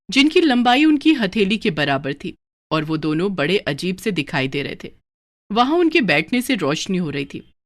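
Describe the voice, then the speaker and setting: A woman storyteller reading scripted narration for a children's moral tale. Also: mid-range voice.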